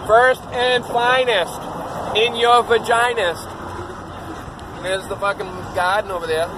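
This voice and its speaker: A man talks in a fairly high voice, cursing freely and joking as he points out the sights. He is recorded on a phone on the dashboard of a moving van, with loud road and engine noise under him. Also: fairly even intonation, unhurried. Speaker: vlogger filming a drive. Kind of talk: casual crude chat, tour commentary. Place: inside a moving work van.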